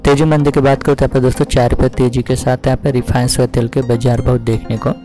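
Flat, mellow male price announcer. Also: mid-range voice, fast. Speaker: host of a market price update channel. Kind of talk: scripted narration of market prices. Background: music.

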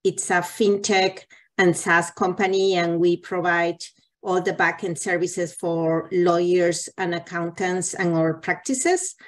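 Executive panelist, woman in her 40s, low voice, video-call audio, thinks aloud with restarts. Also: level tone. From Latin America. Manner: halting, restarts phrases. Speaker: panel speaker, marketing executive. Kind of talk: virtual panel talk.

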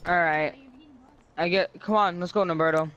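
A man's voice: high-pitched, steady tone, moderate pace, a bit bright. A man improvising roleplay chat in an online game. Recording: muffled mic, faint background noise.

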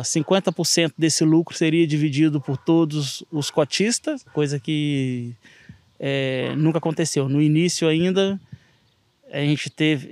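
Brazilian Portuguese man, casual halting interview, slightly high voice, dry sound. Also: a little mellow, no room sound. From Brazil, Minas Gerais. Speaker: interviewee, investor. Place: outdoors in a garden.

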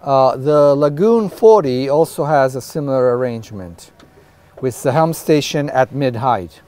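A middle-aged man hosting a boat review interview outdoors on a catamaran deck, talking in a relaxed, chatty way with many fillers and a lapel mic. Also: medium pitch, measured pace.

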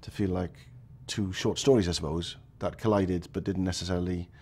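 A man's voice: deep voice, fairly even intonation, brisk, warm tone, husky. A middle-aged man with a Welsh accent, a native Welsh speaker, reflecting on his film in an indoor interview, often restarting his thoughts. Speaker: film director. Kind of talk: documentary interview. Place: indoor room with chairs.

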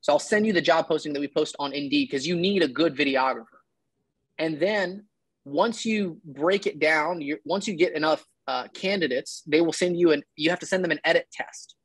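A man's voice: fairly high pitch, moderate pace, husky, no room sound, low-quality recording. Blunt, emphatic man on a dry call. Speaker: business coach giving advice. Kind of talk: casual one-on-one advice call. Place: indoor room, video call. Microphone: video call.

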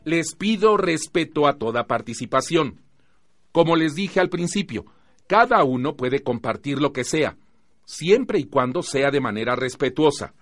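A man with a Mexican accent playing a patient teacher who narrates a radio drama in a measured, didactic way. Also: fairly high pitch, lively intonation. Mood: firm, explanatory. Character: patient male teacher narrating his teenage students' stories.

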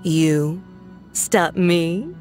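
An actress voicing a haughty, mocking, elegant villainess in a video game, with condescending, theatrical, taunting delivery. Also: female voice, fairly low pitch, extremely slow, a little mellow, faint music behind. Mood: disdainful.

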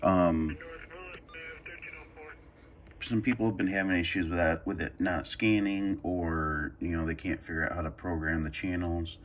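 A man's voice: sits low, steady tone, unhurried, pauses often, slight background noise. A man giving a casual, improvised how-to, hesitant and thinking aloud as he demonstrates a device. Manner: hesitant, thinking aloud while pressing buttons. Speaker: video host demonstrating a radio scanner. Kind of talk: casual tutorial talk, improvised. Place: carpeted room indoors at home.

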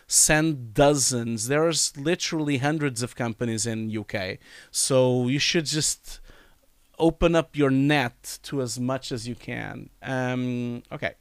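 Man in his forties, sick with a cold, nasal and scratchy, smooth close voice, dry home studio mic, hesitant live Q&A host. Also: mid-range voice, no room sound. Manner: reads chat questions aloud, hesitant with many fillers. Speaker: live stream host answering chat. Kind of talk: casual live Q&A. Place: home study with bookshelves, indoors. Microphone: studio mic on boom, wearing headphones.

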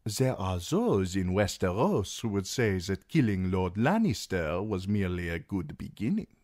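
A male narrator voicing a fat, jovial merchant magister who needles his guest in a genial, mocking, drawn-out way. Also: sits low, very animated, a little mellow, no room sound, studio quality. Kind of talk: audiobook character reading.

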